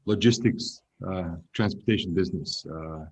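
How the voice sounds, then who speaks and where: A man in his fifties, born in Hungary, answering interview questions as a logistics executive in a measured way that trails off at the ends of phrases. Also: sits low, a little mellow.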